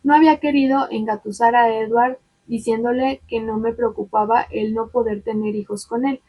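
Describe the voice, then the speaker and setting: Husky-voiced woman reading a novel aloud. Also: fairly high pitch, dull audio. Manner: expressive reading with slips and restarts; chatty asides to viewers.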